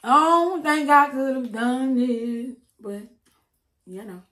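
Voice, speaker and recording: A woman chatting casually while eating on camera, with preachy asides, frequent laughs and a slightly hoarse voice, recorded on a close mic at home. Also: sits high, lively intonation, takes it slowly, fairly bright tone, reverberant. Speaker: mukbang creator. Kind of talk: casual eating-show chat.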